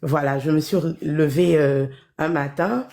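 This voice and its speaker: A woman calls in by phone while she has the flu, so her voice comes through weak and faint. She speaks slowly and haltingly, with many pauses and fillers, in a gravelly, dark tone. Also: low-pitched. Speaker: caller.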